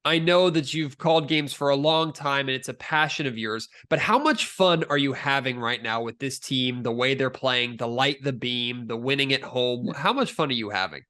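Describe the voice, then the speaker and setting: A man's voice: medium pitch, normal speed, hardly any pauses, bright, forceful tone. An American man hosting a sports podcast, talking as a reporter and interviewer.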